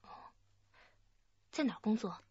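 A woman's voice: measured pace, darkish tone, no room sound, highs cut off, natural voice. An actress playing a firm, probing female police detective in a radio drama. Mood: stern, probing.

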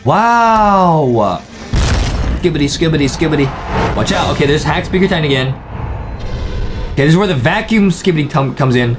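A man's voice: a little high, lively intonation, normal speed, long pauses. A young man hosting a reaction video, giving hyped live commentary full of exclamations, slang and repeated catchphrases. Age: young adult. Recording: loud music under the voice.

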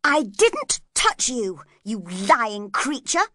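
Woman narrator playing a spoilt young lady, high voice, imperious, fiery temper, storybook drama, very animated. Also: normal speed, no room sound, clean studio sound, exaggerated voice. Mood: angry. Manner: cross, emphatic, imperious.

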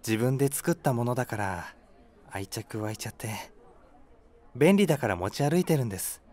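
A man's voice: medium pitch, animated, normal speed, a little mellow, lightly acted. A man playing an earnest, mild, slightly airheaded young product developer who speaks politely and unassumingly. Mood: modest, agreeable. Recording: faint music behind the voice.

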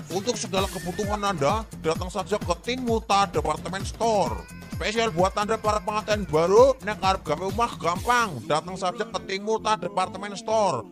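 A man with a Banyumasan Javanese accent doing a joking parody of a radio store ad. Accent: Banyumasan Javanese (Ngapak). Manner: mock-salesy, joking pitch. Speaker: parody ad announcer. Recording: old radio recording over music.

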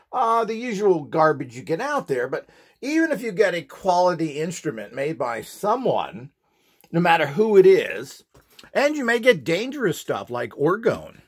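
A middle-aged man demonstrating devices on a tabletop, talking in an opinionated, dismissive, boastful way. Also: a little high. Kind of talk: casual product demonstration. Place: indoor desk, hands-on table demo.

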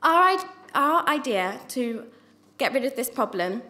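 Young woman giving a prepared speech, high voice. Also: big pitch swings, unhurried, bright, forceful tone. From not from New Zealand. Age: young adult. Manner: earnest, with small restarts on words. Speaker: student presenter. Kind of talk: prepared speech read from notes. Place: university lecture theatre. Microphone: microphone on a stand.

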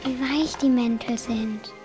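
A woman's voice: fairly high pitch, fairly even intonation, slow, warm tone, soft background music. A woman playing a timid yet curious little girl in a children's radio play. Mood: hushed wonder.

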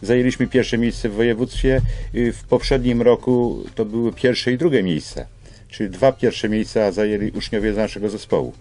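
Older man, low halting voice. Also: darkish tone. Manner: halting, hesitant phrasing with repeated starts. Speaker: school representative, radio guest. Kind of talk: explanatory radio interview answers. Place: small radio studio. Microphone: studio microphone on boom arm.

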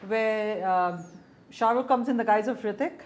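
A woman's voice: a little high, normal speed, a little mellow. A woman in her fifties from India, interviewing a guest in a small indoor room and asking long, meandering questions. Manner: long rambling questions with fillers.

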